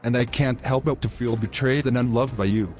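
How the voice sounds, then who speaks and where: A male actor plays a selfish young boyfriend in a moral-story audio drama. He argues in formal, wordy lines and keeps pushing his point. His voice sits at an average male pitch, with soft music underneath. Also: fairly even intonation, brisk, natural voice. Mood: insistent, aggrieved. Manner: formal wordy phrasing, insistent. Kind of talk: scripted moral-story dialogue.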